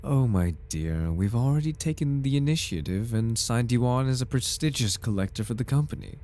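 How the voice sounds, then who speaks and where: A man playing a smooth-talking, flirtatious and faintly sinister corporate advisor in a formal, theatrical ASMR roleplay. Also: medium pitch, lively intonation, brisk, darkish tone, close to an everyday voice. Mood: slick reassurance. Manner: theatrical, formal, silky salesman politeness. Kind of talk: ASMR roleplay, scripted in-character monologue.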